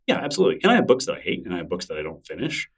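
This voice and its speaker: Low-voiced man musing in a rambling way. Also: huge pitch swings, very fast, vocal fry, slightly rough, distant mic. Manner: thoughtful, rambling, with frequent fillers and restarts. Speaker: interview guest, newsletter creator. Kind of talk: casual conversational interview.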